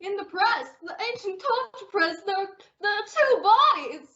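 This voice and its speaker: A woman's voice: very high-pitched, expressive, a little slow, bright, forceful tone, heavily acted. A woman playing a timid, horrified young male errand-runner in a radio drama. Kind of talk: old-time radio drama acting. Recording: dull lo-fi audio.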